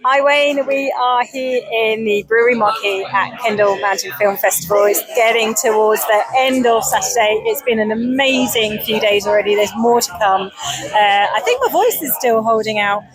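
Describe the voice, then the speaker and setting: Woman host, eager, lots of ums, slightly high voice. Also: normal speed, poor audio. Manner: enthusiastic with many fillers and restarts. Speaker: podcast co-host. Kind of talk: casual interview chat. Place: festival marquee.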